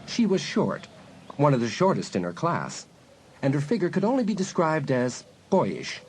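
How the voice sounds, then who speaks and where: A man's voice: on the high side, big pitch swings, moderate pace, a little mellow, a little hiss or hum, low-quality recording. A man reading scripted story narration as the narrator of an audiobook.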